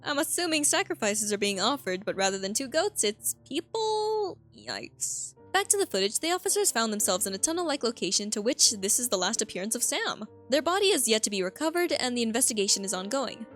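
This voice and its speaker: A woman's voice: fairly high pitch, sing-song, a little fast, hardly any pauses, fairly bright tone. A woman narrating a scripted video analysis in a conversational way, slipping in casual asides and rhetorical questions. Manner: conversational, interjecting own reactions and rhetorical questions. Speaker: video host analysing a horror web series. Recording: voice-over with background music. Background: background music.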